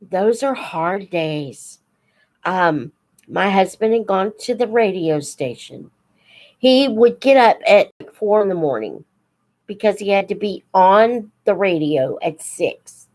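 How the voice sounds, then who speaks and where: Slow-talking American woman in her seventies. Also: mid-range voice, expressive, darkish tone. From United States. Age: 70s. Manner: halting, reflective, pauses mid-sentence, acts out quoted dialogue. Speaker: host of a live Q&A stream, teacher figure. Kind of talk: informal reminiscing, storytelling.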